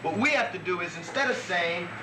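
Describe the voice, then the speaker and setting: A man's voice: high voice, lively intonation, fairly quick. A young man arguing bluntly and profanely at a heated meeting, rambling with restarts. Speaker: co-op member at a meeting. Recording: echoey room, noisy old tape.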